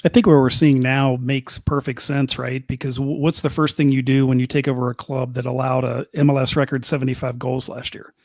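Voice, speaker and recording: A man co-hosting a soccer podcast, laying out an argument in quick bursts and repeating words as he builds it. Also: medium pitch, level tone, darkish tone, no room sound, studio quality. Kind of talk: casual analytical chat.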